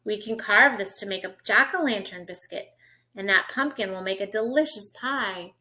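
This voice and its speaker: A woman's voice: medium pitch, moderate pace, pushed and bright, close to an everyday voice. A woman voicing a cheerful little girl who talks to her puppy in a coaxing, sing-song way.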